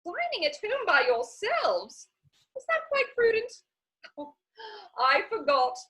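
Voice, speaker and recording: Gushing Victorian society lady for stage comedy; chatty, very bright, animated. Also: very high voice, slow pace, full character voice. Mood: gossipy, airy. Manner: gushing, rambling, theatrical. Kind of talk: stage comedy dramatic reading.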